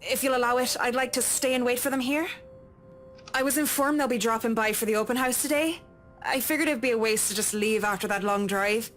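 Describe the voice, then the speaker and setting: A woman's voice: fairly high pitch, fairly even intonation, brisk, a bit bright, faint music behind, close to an everyday voice. A woman voicing a polite, businesslike, apologetic female visitor in a visual novel game, speaking formally and courteously. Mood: polite, composed.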